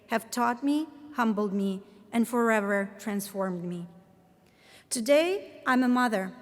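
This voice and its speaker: A woman in her thirties with a Latvian accent, giving a formal commencement address in a measured, earnest, deliberate way. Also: on the high side. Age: 30s. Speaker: commencement speaker, professor. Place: large ceremony hall. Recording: reverberant hall sound.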